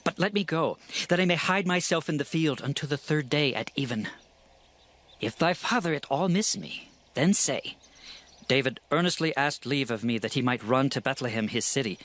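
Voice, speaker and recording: A man's voice: on the high side, animated, normal speed, fairly bright tone. A man playing a troubled, earnest young fugitive of ancient Israel in a dramatized scripture reading, with formal archaic phrasing. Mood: earnest planning.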